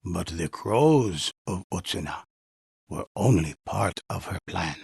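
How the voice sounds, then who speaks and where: Old game storyteller, very husky, slow, solemn, mysterious, deliberate pauses, close dry sound. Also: male voice, deep voice, animated, darkish tone, dry recording, full character voice. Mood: solemn, ominous. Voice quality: raspy, husky, gravelly. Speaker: storyteller narrator in a video game. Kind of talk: scripted dramatic storytelling narration.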